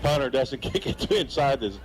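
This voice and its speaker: A man's voice: medium pitch, lively intonation, fairly quick, slight background noise. A man giving conversational color commentary on a live football broadcast from a stadium. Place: outdoor high school football stadium broadcast.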